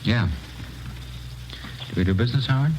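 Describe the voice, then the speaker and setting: A man's voice: medium pitch, moderate pace, natural voice. A man playing a terse, hard-nosed narcotics dealer with a curt, blunt manner in a 1950s radio crime drama. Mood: terse, businesslike. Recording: faintly noisy vintage radio recording.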